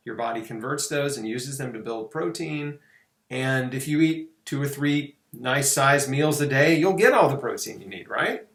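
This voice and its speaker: A man's voice: mid-range voice, fairly bright tone. A man talking casually as host of a health interview, emphatic and often checking in with "right?". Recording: echoey room sound.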